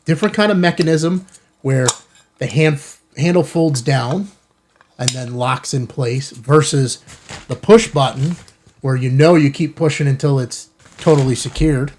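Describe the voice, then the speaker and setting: A man's voice: medium pitch, unhurried, a little mellow, a little hiss or hum. An American man hosting a ham radio channel, chatting informally and casually to viewers as he shows off gear. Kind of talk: casual product unboxing chat to viewers. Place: indoor studio in an office building.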